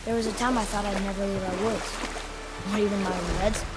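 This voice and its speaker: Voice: mid-range voice, steady tone, brisk, loud music behind the voice, natural voice. A performer playing an eager, curious, talkative young boy in a video game, speaking in an earnest, chatty way. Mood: curious, wondering. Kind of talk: cinematic video game acting.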